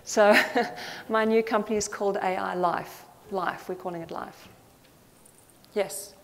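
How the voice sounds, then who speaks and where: An earnest woman from Zambia gives a thoughtful conference talk, pausing on small fillers. Her voice sits at a middle pitch. The sound carries a long hall reverb with faint noise behind it. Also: fairly even intonation, vocal fry. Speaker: conference speaker.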